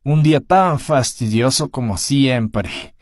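A man's voice: medium pitch, takes it slowly, darkish tone, no echo, clean studio sound, lightly acted. A man playing a weary, annoyed older puppet brother, narrating in character for a fandub with a grudging, sighing manner.

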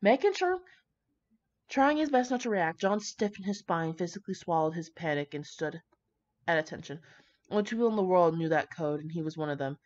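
A woman's voice: on the low side, animated, fairly quick, a little mellow, dull audio. A young woman reading fan fiction aloud, with brash, slangy, profane casual commentary.

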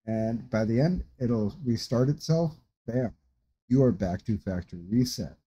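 A man in his thirties giving a casual, rambling, halting tutorial from his desk at home. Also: mid-range voice, expressive, dark tone, slightly rough, dull audio. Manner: rambling, halting, informal explanations. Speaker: tutorial host. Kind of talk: casual screen-share tutorial. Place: small room indoors at a desk.

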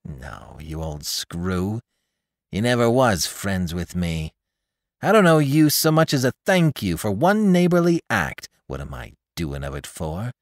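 A man voicing a blunt, rough-spoken male woodman who scorns his neighbor. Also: low-pitched, very animated, a little slow, no room sound, studio quality, heavily acted. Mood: scornful.

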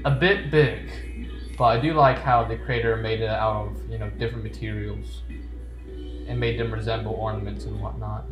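A man's voice: fairly low pitch, fairly even intonation, normal speed. A man giving playful, joking commentary while playing a game, reading on-screen text aloud. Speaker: gamer. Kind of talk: let's play commentary. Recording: roomy echo with game music. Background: game music.

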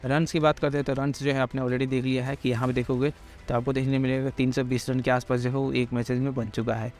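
A man giving a quick, casual cricket pitch analysis, talking straight to viewers with lots of filler phrases. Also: little pitch movement, darkish tone, faint music behind. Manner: rapid, heavy filler phrases, addresses viewers directly. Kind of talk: fast casual explainer over slides.

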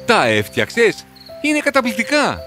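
A man's voice: high voice, wildly swooping pitch, sharp tone, soft background music, pitched above the performer's own voice, heavily acted. A man voicing an earnest, hardworking Stone Age father in a cartoon, exclamatory and affectionate. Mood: warm, impressed. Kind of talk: cartoon fairy tale dialogue.

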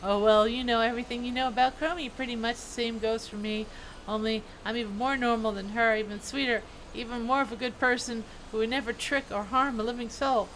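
A high, sugary little gnome played by a woman reading quests, gushing sweetly. She sounds so innocent that it seems suspicious, with some game ambience behind. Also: fairly even intonation, a little fast, a bit bright, slight background noise, close to an everyday voice, poor audio. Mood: saccharine, innocent.

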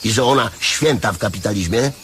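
A man's voice: lowish voice, lively intonation, brisk, a bit bright, slight background noise. An actor playing a bossy, smug male capitalist who lectures pompously and stumbles over words, in a radio comedy drama.

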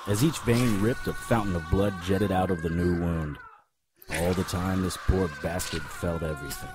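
A man playing a cocky, world-weary paranormal investigator, narrating a noir radio drama in a wry, deadpan way. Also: sits low, noisy background. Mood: grim, measured.

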